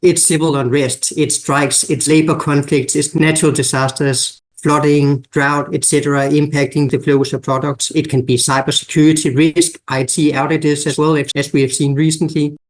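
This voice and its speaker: Danish-accented man, measured guest. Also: on the high side, little pitch movement, poor audio. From Denmark. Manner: measured, explanatory, lists examples. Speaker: guest expert. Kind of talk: podcast interview.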